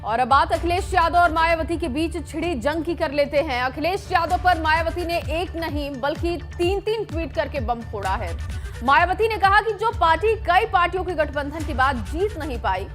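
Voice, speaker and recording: A woman with a very high voice reads a scripted news bulletin as anchor. Faint music runs underneath her delivery. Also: animated, normal speed.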